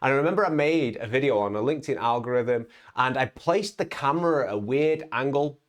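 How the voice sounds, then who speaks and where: A man's voice: medium pitch, expressive, brisk. A young man giving a casual motivational talk to his viewers, emphatic, with frequent "right?" tags and stumbling restarts. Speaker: vlogger on online business.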